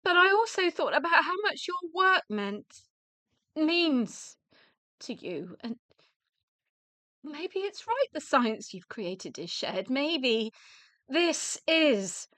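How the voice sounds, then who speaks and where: Sad tired widow for radio drama, slow halting delivery, high voice. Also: female voice, big pitch swings, sharp tone, dry recording, heavily acted. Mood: regretful, confiding. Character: weary, emotional widow of a dead scientist. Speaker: actor in a radio play.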